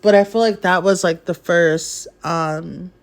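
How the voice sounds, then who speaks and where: Woman, vlog host, low voice, chatty opinionated commentary. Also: fairly even intonation, measured pace. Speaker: video host.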